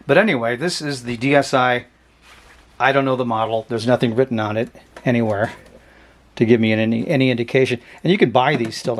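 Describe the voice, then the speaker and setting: A man with a medium-pitched voice hosts a casual product review. He talks off the cuff in a halting way, often hedging and qualifying what he says in an offhand manner. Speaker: host of a vintage radio review channel. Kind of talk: casual unscripted product demonstration.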